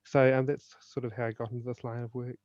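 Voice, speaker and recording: Podcast guest: New Zealand-accented man, warm and measured, dry and dull line. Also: medium pitch, little pitch movement, dry recording. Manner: earnest, measured, informative. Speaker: guest, suicide prevention speaker and author. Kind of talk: podcast interview, explanatory.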